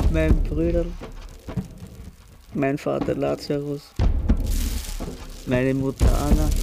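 An actor playing an old dying man haunted by his dead family, brooding and halting, in a poetic radio drama. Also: mid-range voice, creaky, background noise. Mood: remembering.

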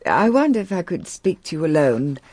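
An actress playing a bold, worldly upper-class English lady in a radio drama, husky-voiced, brisk and frank. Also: low-pitched, big pitch swings, darkish tone, full character voice. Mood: guarded. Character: bold, reckless, worldly aristocratic English mother.

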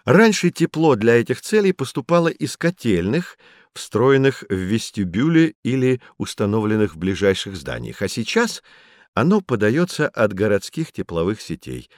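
Patient friendly professor teaching kids, audio play, lowish voice, really animated delivery. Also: male voice, normal speed, dry recording, studio quality. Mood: explaining. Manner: instructive, encouraging. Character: kindly, patient male professor guiding children. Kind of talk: scripted educational audio play.

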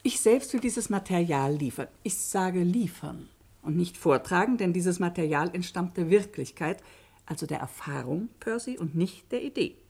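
Measured, low-voiced woman academic. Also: lively intonation, a little mellow, breathy edge. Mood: firm, controlled. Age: middle-aged. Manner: articulate, formal, didactic. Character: middle-aged woman academic, measured, earnest, firmly cautionary seminar leader. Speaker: actor in a radio play. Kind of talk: German science-fiction radio drama acting, lecturing.

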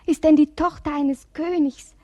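An actress playing a young princess in a 1950s radio play, dreamy and lyrical, often sinking to a whisper. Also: female voice, sits high, steady tone, moderate pace, no room sound, highs cut off, natural voice. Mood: anguished. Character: melancholy young princess fleeing an arranged marriage.

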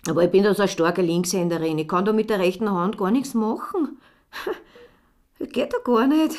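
An actress plays a devoted, troubled Austrian mother being questioned in a radio drama. She speaks at a middling pitch, halting and repeating herself. Her voice is emotionally fragile and can turn breathy or close to breaking. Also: a little mellow, close to an everyday voice. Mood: bewildered, agitated. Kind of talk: naturalistic radio drama acting.

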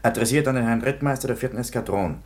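An actor playing a tired, matter-of-fact police commissioner in a radio play. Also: male voice, mid-range voice, quick pace, natural voice. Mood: stern.

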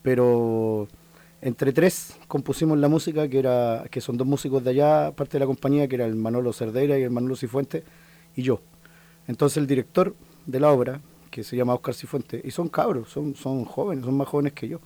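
A man's voice: mid-range voice, normal speed, dark tone. A Chilean man in his thirties, a folk singer talking in a casual interview, hesitant and full of restarts. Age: 30s. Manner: hesitant, many restarts and fillers.

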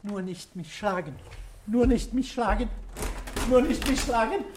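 A man acting a hysterical, terrified male suspect in a radio crime drama, pleading repetitively in a shrill whimper. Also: a little slow.

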